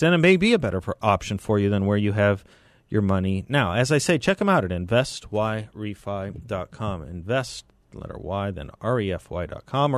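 A man with an American accent and a low-pitched voice hosts talk radio. He speaks in a conversational on-air style, and the sound is dry and close, with no room around it. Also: dry recording. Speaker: radio talk show host. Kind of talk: live talk radio chat and host-read ads.

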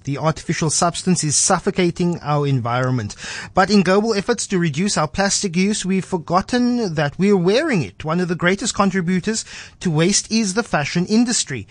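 Radio host reading feature intros. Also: male voice, sits high, dry recording. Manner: reads scripted intros with small slips and fillers.

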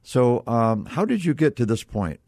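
An American man hosting a casual radio interview in a halting, conversational way. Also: medium pitch, very animated, a little mellow, husky, no room sound, studio quality. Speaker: radio show host.

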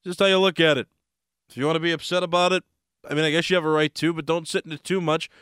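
An American man hosts sports talk radio in a fairly high, clean voice with a touch of hoarseness. He talks casually and takes his time, pausing mid-thought. The sound is close and dry. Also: steady tone, fairly bright tone, no room sound. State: slightly hoarse.

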